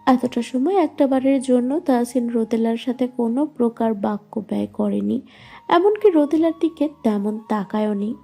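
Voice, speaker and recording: Female storyteller for romantic audio fiction: very mellow tone, higher register, light music bed. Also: vocal fry. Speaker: narrator. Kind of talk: scripted romantic story narration. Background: faint music.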